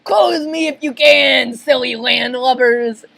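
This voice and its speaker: Woman playing male cartoon crab, piratical, mischievous, taunting, raised pitch. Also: takes it slowly, vocal fry, a bit bright, full character voice. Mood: gleeful taunting.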